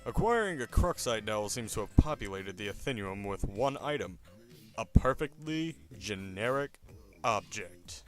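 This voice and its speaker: A man's voice: fairly low pitch, lively intonation, moderate pace, soft background music. A man narrating a scripted comic dub in a theatrical, mock-serious style.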